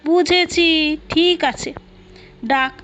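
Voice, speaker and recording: A woman storyteller voicing a brisk, inquisitive young male accountant boss. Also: high-pitched, fairly even intonation, fairly bright tone, pitched above the performer's own voice, heavily acted. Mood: decisive. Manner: questioning, brisk. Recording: dull lo-fi audio, music behind.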